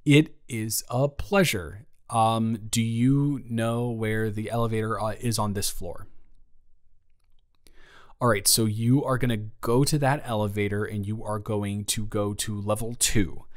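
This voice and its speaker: A man playing a friendly, flirty boss in an improvised audio roleplay, talking haltingly and conversationally to a new intern. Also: lowish voice, fairly even intonation, lightly acted. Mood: flirty, amused. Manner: halting, conversational, with stammered restarts and chuckles. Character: friendly, flirty, nervous-excited male office boss welcoming a new intern. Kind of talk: improvised romantic audio roleplay, spoken to the listener.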